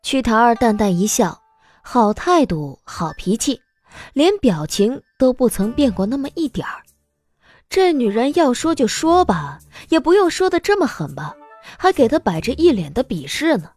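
A woman's voice: fairly high pitch, very animated, moderate pace. A woman narrator reading a story in a dramatic audiobook style. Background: soft background music.

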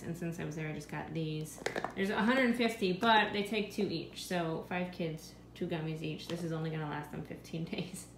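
A woman in her thirties, a mother of five, talking through her grocery haul at home and musing aloud over prices. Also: fairly low pitch. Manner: chatty, thinking aloud about prices. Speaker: vlogger. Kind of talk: casual grocery haul narration. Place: home kitchen. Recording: echoey room with steady background noise.

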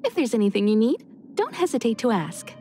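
Poised mentor, swooping pitch. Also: female voice, big pitch swings, a little fast, mellow, slight background noise. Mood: confident, caring. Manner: reassuring, poised. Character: confident, nurturing, elegant woman mentor. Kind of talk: video game voice acting.

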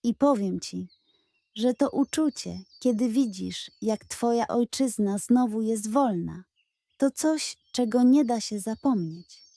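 A woman's voice: a little high, expressive, slow pace, vocal fry, warm tone, dry recording, heavily acted. A woman voicing a kind, proud old grandfather and former soldier in a children's storybook, speaking earnestly and tenderly. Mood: earnest, solemn. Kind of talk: children's audio storybook character.

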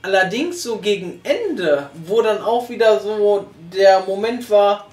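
A man's voice: high voice, lively intonation, a little slow, a little mellow. Rambling young man, roomy room. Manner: rambling, hesitant, with frequent fillers and restarts. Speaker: co-host reviewing an audio drama. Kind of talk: casual review chat. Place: small living room, seated on a sofa. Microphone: far camera. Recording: roomy room sound, faint music.

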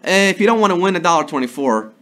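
A man in his thirties hosting a live trivia show from a studio, playful and jokey, a little hoarse from a sore throat. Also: high-pitched, animated, a bit bright. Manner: playful, jokey, slips into quick impressions and sung bits. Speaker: trivia game show host. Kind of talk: upbeat live quiz hosting. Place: studio before a green screen.

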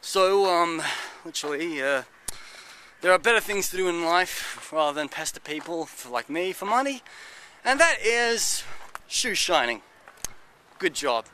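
A man's voice: high-pitched, lively intonation, a little slow, bright, forceful tone, a little hiss or hum. A man in his thirties chatting casually while walking through a park, filming himself at arm's length, rambling with lots of fillers. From United Kingdom. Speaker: travel vlogger. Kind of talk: casual walking tour commentary. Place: outdoors in a city park and fortress. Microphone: handheld camera at arm's length.

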